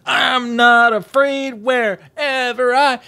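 Timid young male storybook tiger, played by a man: high, drawn-out singsong, trying to sound brave. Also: steady tone, creaky, pushed and bright, exaggerated voice. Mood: singsong bravado. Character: timid little boy tiger singing to feel brave.

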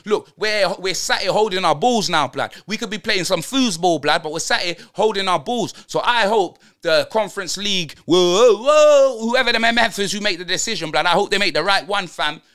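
A man's voice: high-pitched, sharp tone. A man playing a brash young London roadman football fan, ranting in heavy slang and tagging lines with blood and fam. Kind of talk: comedic football rant.

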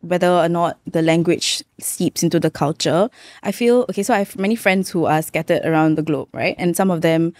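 Young woman, lowish voice, dry studio mic, thinks out loud. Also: fairly quick, no echo. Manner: thoughtful, searching for words. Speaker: podcast guest. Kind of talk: casual panel chat. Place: studio set. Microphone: boom-arm studio microphone.

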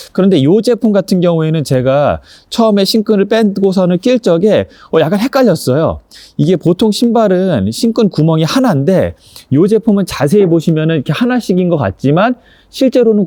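Male review presenter: slightly high, very varied pitch, courteous and explanatory, casual demonstration style. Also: dark tone, dry recording, clean studio sound.

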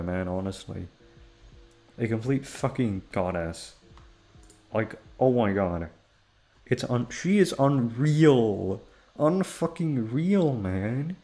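A young man rambling in a casual chat, swearing often and jumping into high-pitched exclamations. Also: darkish tone. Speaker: audio roleplay creator.

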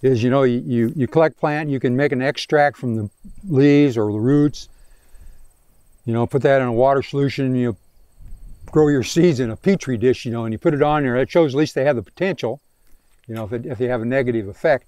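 A mid-pitched man with a mellow, relaxed tone answers questions from a crowd standing in a prairie pasture. He hedges and restarts often, speaking into a handheld microphone that keeps his voice close and dry. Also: a little fast, clear, resonant tone, dry recording. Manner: hesitant, many restarts and fillers. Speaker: range scientist answering questions. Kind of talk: outdoor field day Q&A. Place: open prairie pasture outdoors.

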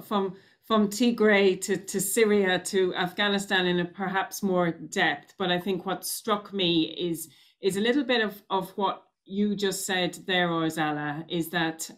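Woman, thirties, panel moderator, hesitant with ums, lowish voice, video call. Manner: hesitant, many ums and restarts. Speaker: host and moderator. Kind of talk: closing remarks of a panel.

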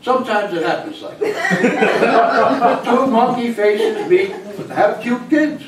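A man's voice: very high-pitched, animated, slow pace, a loud crowd, exaggerated voice. An elderly actor playing a teasing, wisecracking grandfather in a live comic stage play. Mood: gleeful storytelling. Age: 70s. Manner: wisecracking storyteller. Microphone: distant camera. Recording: echoey small theater, distant camera, hiss.

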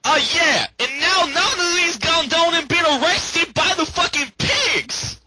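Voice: very high voice, a little slow. An amateur fandubber voicing a brash, swaggering male street-gang rebel leader in slangy, profane, bombastic style on a home voice chat. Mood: defiant, excited. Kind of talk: amateur comedic fandub, improvised over a Skype call. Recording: clicky, noisy home voice-chat recording.

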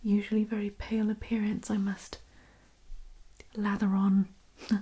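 Woman acting genteel vampire lady, breathy slow asmr whisper, kinda blase and hesitant. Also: mid-range voice, completely flat delivery, mellow. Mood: polite, blasé. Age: young adult. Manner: hesitant, understated, polite. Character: genteel pale female vampire, breezy and blasé. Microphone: close microphone.

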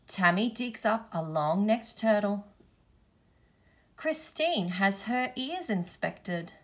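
Female storybook reader, unhurried and deliberate, mid-range pitch, for young listeners. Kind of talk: children's picture book read-aloud.

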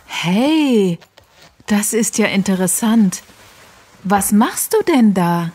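Actress for a friendly young woman, animated, slow. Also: mid-range voice. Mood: curious. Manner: slow, lively, curious. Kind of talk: scripted textbook dialogue.

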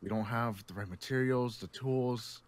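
A man acting a steady survivor in a zombie game roleplay. He speaks at an average pitch in short, conciliatory phrases. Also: normal speed, natural voice. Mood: calm.

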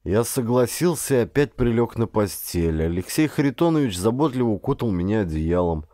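A man's voice: fairly low pitch, very animated, a little fast, mellow, dry recording. Male audiobook narrator, very dry close sound. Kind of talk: scripted first-person adventure narration.

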